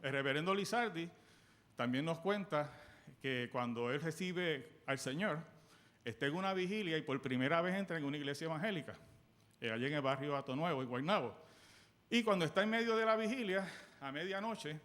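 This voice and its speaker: A man with a Puerto Rican accent preaching a sermon in church, asking the congregation questions and swinging between loud bursts and soft quoted lines. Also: a little high, fairly quick. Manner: animated, call-and-response questions to the congregation. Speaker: guest preacher. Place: church sanctuary.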